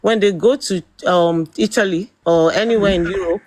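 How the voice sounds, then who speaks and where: A woman's voice: medium pitch, normal speed, darkish tone, no room sound. Nigerian woman host, middle-aged, fiery opinion talker, dry close mic. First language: Yoruba. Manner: emphatic, rhetorical questions, rising to exclamations. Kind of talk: opinionated commentary.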